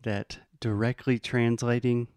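An American man teaching English in a bilingual lesson, explaining patiently and modeling phrases slowly. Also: medium pitch, little pitch movement, darkish tone, clean tone, no echo, clean studio sound. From United States.

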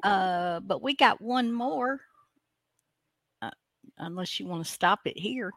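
A woman's voice: mid-range voice, expressive, takes it slowly, fairly bright tone, no room sound. A woman hosting an online radio show, bantering casually in a joking, self-mocking way.

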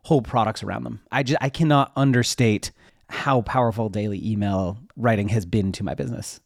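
A man's voice: fairly low pitch, fairly quick, creaky, no echo, clean studio sound. A man giving casual interview answers in an informal, rambling way, often interrupting himself. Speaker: interview guest, web developer and newsletter writer.

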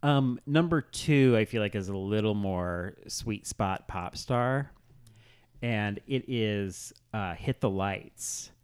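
A man co-hosting a casual pop culture chat, speaking in a halting way peppered with "like". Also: lowish voice, a little mellow, smooth. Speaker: podcast co-host.

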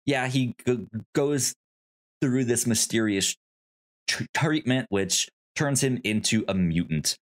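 A man hosting a podcast, casually recapping movies in a halting, stammering way with repeated word starts. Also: mid-range voice, expressive. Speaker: podcast host.

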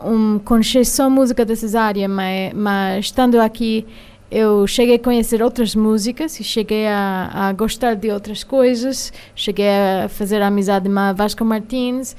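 A woman with an American accent, a singer speaking haltingly as a radio studio guest, pausing often with filler sounds. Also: mid-range voice, steady tone, darkish tone, clean tone. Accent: American English. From United States. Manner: hesitant, many filler sounds while finding words. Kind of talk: radio interview.

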